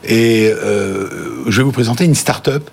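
A man's voice: medium pitch, unhurried, creaky, husky. A male host running a business interview with playful, joking questions.

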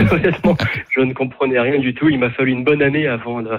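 A man's voice: medium pitch, fast, no room sound. A man in his thirties from Lyon, a caller chatting on the radio, hesitant with many fillers and restarts. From France (Lyon). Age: 30s. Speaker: caller, French expatriate. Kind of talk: casual phone chat on radio. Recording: phone line.

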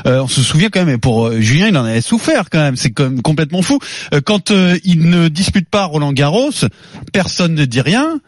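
A French radio host with a somewhat high voice tells a story with theatrical build-up. He sits at a studio mic, and the sound is dry and close. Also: big pitch swings, fairly quick, dry recording. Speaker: radio sports show host. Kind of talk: setting up a topic with storytelling. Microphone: studio microphone.

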